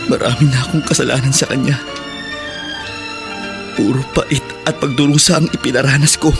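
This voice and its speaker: A man's voice: medium pitch, fairly even intonation, moderate pace, close to an everyday voice. Radio drama role: young Filipino convict seeking redemption, played by a man, earnest and respectful, faint music underneath. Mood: remorseful, resolved. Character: remorseful young male prisoner studying to redeem himself.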